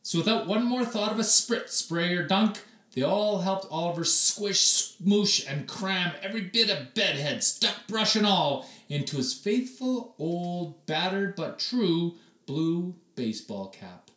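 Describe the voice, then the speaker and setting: A man reading a children's story aloud expressively, with dramatic, deliberate pauses and emphasis. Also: sits high, animated, fairly bright tone, distant mic. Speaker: storybook reader. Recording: echoey room, away from the mic.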